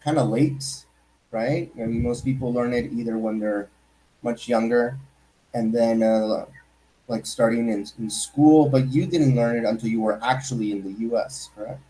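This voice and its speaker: Guy hosting an interview show, mellow warm tone, easygoing questions. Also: medium pitch, normal speed, rough recording. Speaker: host. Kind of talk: casual interview.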